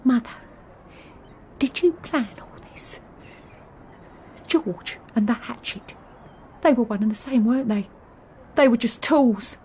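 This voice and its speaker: A woman's voice: a little high, slow pace, pauses often, a little mellow, a little hiss or hum. A woman playing a frightened, questioning young English daughter on the run in an audio drama, speaking fretfully. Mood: accusing, fearful.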